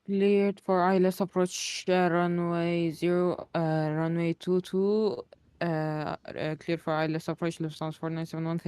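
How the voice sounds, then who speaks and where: Pilot radio readbacks, monotone, clear, hesitant, medium pitch. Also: normal speed, no echo. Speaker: pilot in an online flight simulation game.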